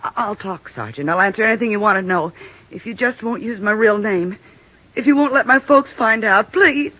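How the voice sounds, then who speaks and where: A woman's voice: mid-range voice, normal speed, lightly acted. A woman playing a frightened, sullen young nightclub stripper in her twenties, pleading and defensive in an old radio drama. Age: 20s. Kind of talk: 1950s radio drama acting. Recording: dull old radio broadcast.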